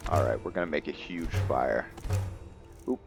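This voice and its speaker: A man giving casual commentary as he plays a roleplaying game, thinking aloud in a halting way. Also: extremely low pitch, music in the background. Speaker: gameplay host.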